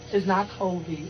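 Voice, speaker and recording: A hoarse woman co-hosting a podcast, chatting casually and joking with her sister in a laid-back, slangy way. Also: mid-range voice, highs cut off, background noise. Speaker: podcast co-host. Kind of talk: casual chat between sisters.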